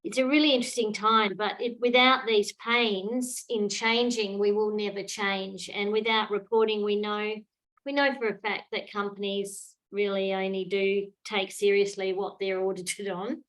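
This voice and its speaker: A woman's voice: mid-range voice, fairly even intonation, normal speed, a bit bright, rough recording. An Australian woman hosting an online Q&A, speaking reflectively with many fillers. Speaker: host, moderator. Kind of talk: online webinar Q&A. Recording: online call audio.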